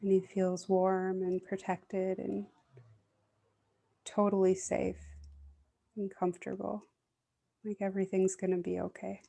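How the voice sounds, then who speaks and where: Meditation guide, female, soothing and even-toned with creaky vocal fry, unhurried delivery. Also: mid-range voice. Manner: gentle, soothing, unhurried with long pauses.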